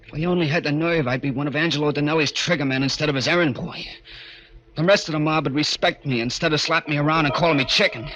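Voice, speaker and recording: An actor playing a cowardly small-time American gangster in a 1940s radio crime drama, talking tough slang with nervous bluster. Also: male voice, mid-range voice, pushed and bright, natural voice. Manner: tough-guy slang, blustering, stammering when pressed. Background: organ music.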